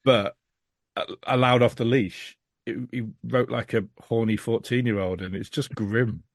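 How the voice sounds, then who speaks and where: A man hosting a podcast, giving an exasperated, emphatic review in casual, animated chat. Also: medium pitch, unhurried, gravelly, no room sound, studio quality. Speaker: podcast host.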